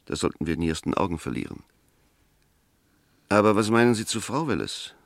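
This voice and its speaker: An actor in a 1960s German radio play, playing an earnest, methodical Englishman who argues with formal, deliberate emphasis. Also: lowish voice, sing-song, creaky, no echo. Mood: measured, emphatic. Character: earnest, methodical Englishman defending a suspect's innocence.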